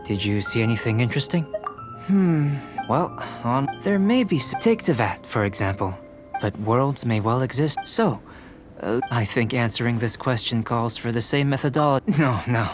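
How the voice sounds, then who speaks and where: A man voicing a composed young male alchemist in a game, speaking in a measured, precise, academic way. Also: medium pitch, lively intonation, close to an everyday voice. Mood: calm, analytical. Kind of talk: game voice acting. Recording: game audio with clear music behind. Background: game music.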